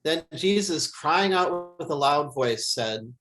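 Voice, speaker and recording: A man who is a pastor, reading scripture aloud in a solemn, measured way. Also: a little high, expressive, fairly bright tone.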